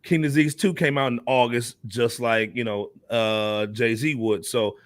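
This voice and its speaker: A man's voice: mid-range voice, steady tone, a little slow, dry recording. An American man hosting a casual hip hop discussion, speaking in slang with emphatic repetition. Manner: slangy, emphatic, repeats himself. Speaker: podcast host.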